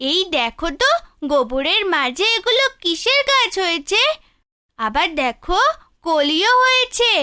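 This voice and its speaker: Very high, bright little girl, acted by a woman. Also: exaggerated voice. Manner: pleading, childlike, eager. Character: earnest, curious little village girl, affectionate daughter. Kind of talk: cartoon fairy tale dialogue.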